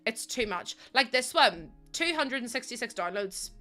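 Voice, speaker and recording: A woman's voice: a little high, expressive, takes it slowly, bright, forceful tone, faint music behind. A young woman with a Northern Irish accent chatting casually while live-streaming, rambling and self-mocking with lots of fillers.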